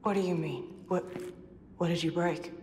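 A woman's voice: fairly low pitch, steady tone, moderate pace, darkish tone, a little hiss or hum, close to an everyday voice. An actress playing a concerned young woman fighter in a video game, earnest and questioning. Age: young adult. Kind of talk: dramatic video game cutscene acting. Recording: echoey cave reverb with music.

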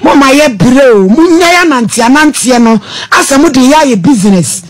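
A woman's voice: high voice, expressive, moderate pace. A middle-aged woman with a Ghanaian accent, questioning a guest as a talk show host. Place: indoor broadcast studio. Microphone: close studio microphone.

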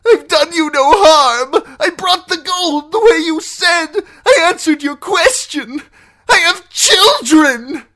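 A man voicing a cowardly captive lordling in an audiobook, shrill and frantic, stammering excuses and pleas. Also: lively intonation, slow pace, fairly bright tone, heavily acted. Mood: panicked pleading. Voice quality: shrill, squealing. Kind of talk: audiobook character acting.

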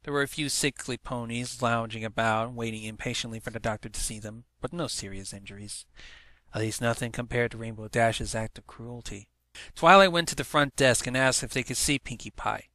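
A man's voice: mid-range voice, brisk, bright, forceful tone, no room sound. A man reading a story aloud as narrator, in a dramatic storytelling manner. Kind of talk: scripted story narration, fan fiction read-aloud.

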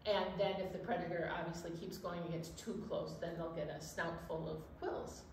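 A woman's voice: on the low side, moderate pace. Middle aged lady doing kids storytime host talk, mic far off, bit of noise behind her. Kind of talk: children's storytime chat. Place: indoor storytime set at a library. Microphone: distant camera microphone. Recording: roomy, distant mic, steady background noise.

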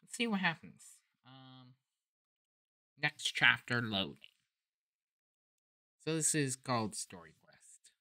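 Casual game host, slow and halting, very bright forceful voice, close dry sound. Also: medium pitch, very animated, no room sound. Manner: hesitant, thinking aloud while typing. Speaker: gamer host. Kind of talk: casual game playthrough commentary.

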